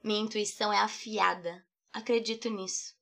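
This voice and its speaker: A woman's voice: mid-range voice, fairly even intonation, normal speed, gravelly, fairly bright tone, faint music behind. A young Brazilian woman, an artist and poet, narrating her own thoughts in a reflective, playful, poetic way. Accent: Brazilian Portuguese. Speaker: podcast host, artist and poet. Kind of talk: scripted personal narration.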